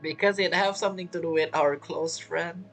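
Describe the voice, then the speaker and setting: A man's voice: a little high, fairly even intonation, a little fast, gravelly, a bit bright, rough recording. Guy streaming a game, chatty commentary, non-native english phrasing, faint game music under him. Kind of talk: casual gaming commentary.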